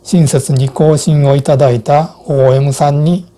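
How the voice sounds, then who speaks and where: A man in his sixties reading a scripted account and instructions in a measured, formal way. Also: on the high side, steady tone, dark tone. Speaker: co-host of an amateur radio Morse code channel. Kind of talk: scripted personal narration and instruction.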